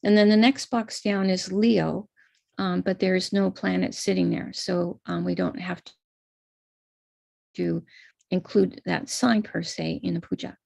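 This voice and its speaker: An American woman with a gravelly edge of vocal fry talks patiently through a chart, one box at a time. Her voice is recorded dry and close on a computer mic for a live stream, with no room sound around it. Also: lowish voice, steady tone, measured pace, darkish tone, no echo. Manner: patient, explanatory, walks through a chart step by step. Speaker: astrologer leading a ritual. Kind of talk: live-streamed ritual instruction.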